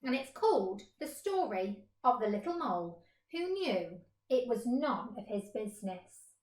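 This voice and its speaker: A woman's voice: fairly high pitch, big pitch swings, unhurried, darkish tone. A woman teacher reading a children's story expressively in a roomy space.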